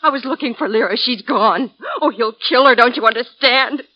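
A woman's voice: a little high, normal speed, lightly acted. An actress playing a frightened, desperate woman in a 1940s radio murder mystery, with an overwrought, pleading delivery. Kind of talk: 1940s radio melodrama acting. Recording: dull old 1940s radio recording.

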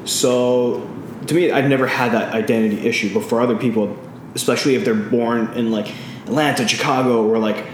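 A man's voice: mid-range voice, steady tone, brisk. A man co-hosting a casual podcast, retelling a film at length with lots of ums and restarts. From California. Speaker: podcast co-host. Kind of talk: casual chat. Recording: very echoey room with faint noise.

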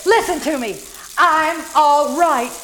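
A woman playing a frantic, pleading and menacing old woman in a radio suspense play, with breathless, halting delivery. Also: high voice, a bit bright. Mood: insistent pleading. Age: older. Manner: breathless moaning, halting repetitions, melodramatic pleading. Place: live performance at a winery. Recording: echoey, noisy live stage recording.

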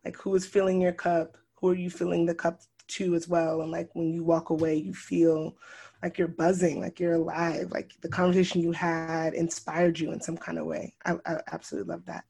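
A host chatting casually, warmly affirming the guest and asking questions. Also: medium pitch, little pitch movement, fairly quick, gravelly, husky. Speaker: podcast host. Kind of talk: casual interview chat.

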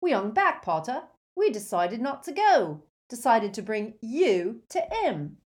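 A woman voicing a slow-witted, hulking teenage schoolboy bully in an audiobook, blunt and ungrammatical. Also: on the high side, big pitch swings, pitched above the performer's own voice. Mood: smug. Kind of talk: audiobook character voice.

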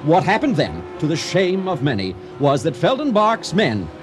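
An actor playing an earnest storyteller, narrating a radio drama in a dramatic, mock-serious manner. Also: male voice, on the high side, huge pitch swings, moderate pace, slight background noise. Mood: mock-solemn.

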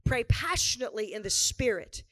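A hoarse woman pastor preaching a revival sermon in a church, repeating lines for emphasis and building to shouts. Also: on the high side, lively intonation, normal speed, no echo. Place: church sanctuary before a live congregation.

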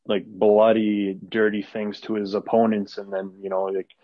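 Low-voiced man, rambling answers. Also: flat delivery, moderate pace, no room sound. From Canada. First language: Arabic. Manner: rambling, many fillers and restarts. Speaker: wrestler guest. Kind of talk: casual interview answers. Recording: dull lo-fi dry sound.

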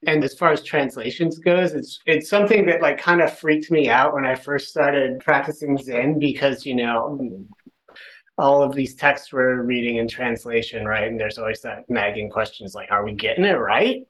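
A man's voice: medium pitch, normal speed, a bit bright, rough recording. A man taking part in a casual group discussion, thinking aloud in a tentative, conversational way. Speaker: discussion participant.

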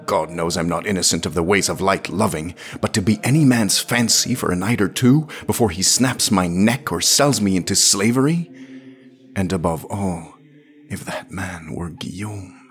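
A man's voice: low-pitched, a little slow. A man voicing a vengeful, determined warrior woman with a firm, defiant, intense manner. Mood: fierce defiance.

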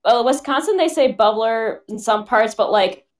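A woman's voice: a little high, normal speed. A young woman from Connecticut chatting as a guest on a live chat, jokey and teasing.

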